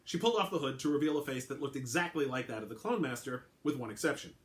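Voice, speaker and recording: A man's voice: mid-range voice, animated, quick pace. A man, an author, reading aloud as a dramatic storyteller.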